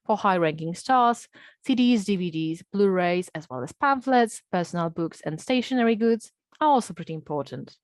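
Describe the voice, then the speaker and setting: A woman's voice: on the low side, expressive, moderate pace, dry recording. A woman reading out a scripted academic presentation as a panel speaker.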